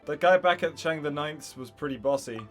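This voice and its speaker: A man's voice: a little high, moderate pace, over loud music. A man casually commenting while playing a video game, chatty and full of asides. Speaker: gaming YouTuber. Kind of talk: casual let's-play commentary.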